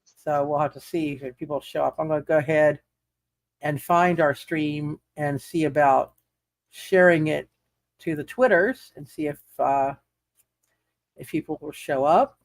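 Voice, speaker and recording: A man's voice: fairly high pitch, measured pace, gravelly, dry recording. A man hosting a roleplay livestream as game master, chatting casually and often repeating words and restarting his sentences. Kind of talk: casual livestream chat and recap.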